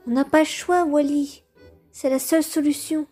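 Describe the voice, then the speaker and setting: A woman's voice: high-pitched, steady tone, normal speed, dark tone, soft background music, close to an everyday voice. A woman voicing a polite, reassuring young schoolboy in a comic dub.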